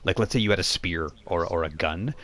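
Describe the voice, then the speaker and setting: A man's voice: sits low, brisk, a bit bright. A man speaking as game master, narrating a tabletop role-playing game in a casual, explanatory way.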